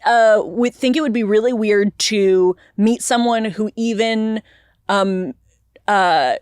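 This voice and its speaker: A woman's voice: mid-range voice, slow, darkish tone, smooth, dry recording, clean studio sound. A woman co-hosting a podcast in casual chat, thinking aloud in a playful way. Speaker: podcast co-host. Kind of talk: casual podcast chat answering listener voicemails.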